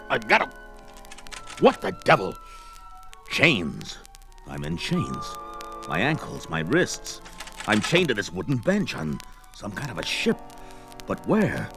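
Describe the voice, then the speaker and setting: An actor playing a proud, hot-tempered barbarian warrior in a blustering, declamatory 1970s audio drama style. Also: male voice, on the low side, wildly swooping pitch, takes it slowly, long pauses, music in the background, full character voice. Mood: groggy, confused.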